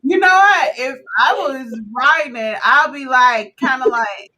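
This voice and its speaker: High bright woman host, super animated, says what she thinks. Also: unhurried. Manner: animated, exclamatory, opinionated. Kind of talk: casual live-show chat.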